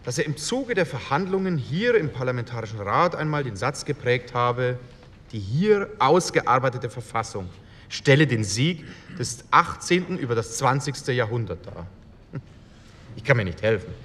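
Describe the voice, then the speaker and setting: Indignant parliamentarian in a reverberant hall. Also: male voice, fairly bright tone. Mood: sardonic. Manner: declamatory, biting. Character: indignant male communist parliamentarian in a postwar assembly. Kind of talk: re-enacted parliamentary speech. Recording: hall reverb, low rumble, faint noise.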